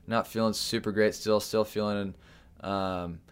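A man's voice: lowish voice, fairly even intonation, unhurried, smooth. A young man hosting a podcast, talking casually with lots of fillers and restarts. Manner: rambling, frequent fillers and restarts. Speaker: podcast host. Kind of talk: casual solo podcast talk.

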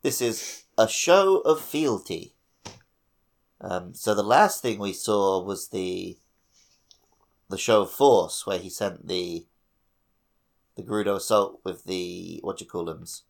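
A man's voice: sits low, animated, slow pace, vocal fry, pauses often. A man hosting a podcast, casually discussing a game in an informal, explanatory way. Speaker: podcast host.

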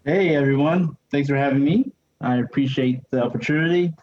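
A man's voice: mid-range voice, fairly quick, a little hiss or hum. Guest, man raised in Nigeria, reflective and easygoing, slightly muffled lo-fi recording. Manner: thoughtful, searching for words, laughs easily. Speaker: podcast guest. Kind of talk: conversational interview. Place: Berlin.

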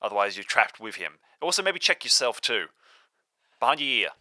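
A man's voice: medium pitch, sing-song, brisk, pushed and bright, no echo, studio quality. A man playing in an improvised audio drama, talking casually and stumbling a little as he makes up his part. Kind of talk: casual improvised role-play chat.

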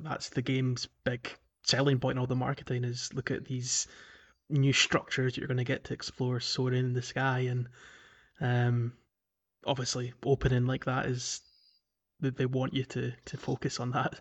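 A man's voice: medium pitch, fairly bright tone. A man chatting casually as a gaming podcast co-host, hesitant and rambling, with frequent chuckles. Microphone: remote call.

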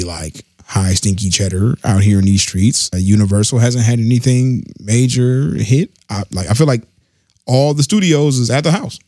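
A man hosting a casual pop culture podcast, slangy and animated, laughing often. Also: mid-range voice, dry recording, clean studio sound. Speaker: podcast host. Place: studio or home studio, live on stream.

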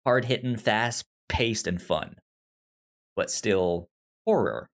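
Slow-paced man chatting on a podcast. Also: lowish voice, expressive. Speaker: podcast co-host. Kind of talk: casual review podcast chat.

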